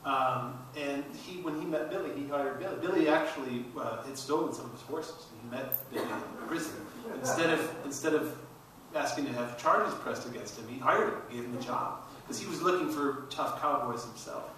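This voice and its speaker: Male documentary panelist, middle-aged, engaged and forceful, distant mic with heavy room echo. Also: mid-range voice, normal speed, a little hiss or hum. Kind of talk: explanatory panel talk. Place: large auditorium stage. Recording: very echoey hall from far off.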